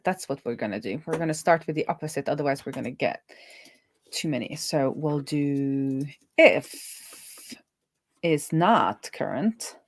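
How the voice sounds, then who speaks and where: A woman with a fairly low voice talks through her work as a casual coding streamer. The sound is dry, and she reasons out loud, trailing off and rephrasing her thoughts as she goes. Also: no room sound. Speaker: host, web developer live coding.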